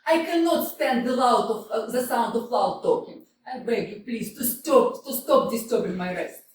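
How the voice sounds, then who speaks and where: An amateur actress reading the part of a proud, reclusive widow, prim and reproachful. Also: female voice, on the high side, normal speed, a little hiss or hum, lightly acted. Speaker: amateur actor in a staged reading. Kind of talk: staged play reading. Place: small community theater stage. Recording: echoey hall from a distance.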